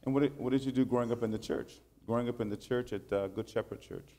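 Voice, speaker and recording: A man's voice: mid-range voice, fairly even intonation, quick pace, mellow. A middle-aged man as pastor, interviewing a guest in a church sanctuary with curious, prompting questions.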